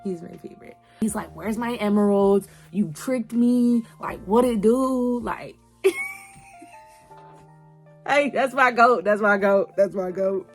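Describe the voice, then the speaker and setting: Young adult woman, video host, casual slangy commentary, emphatic repetition, medium pitch, background music. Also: slow pace. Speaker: YouTube host reviewing an animated series.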